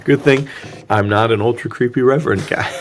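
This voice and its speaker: A man's voice: medium pitch, moderate pace, a little hiss or hum. A man chatting casually and jokingly as a podcast host, recapping a film in slangy, loose language. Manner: slangy, jokey, acts out dialogue mockingly. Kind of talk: casual movie recap chat.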